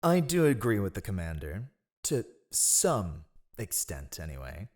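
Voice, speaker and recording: A man's voice: low-pitched, very animated, measured pace, darkish tone, full character voice. A man playing a ruthless, sardonic spy master who advises a monarch with silky, mocking courtly formality in a fantasy audio roleplay. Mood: sly, assured.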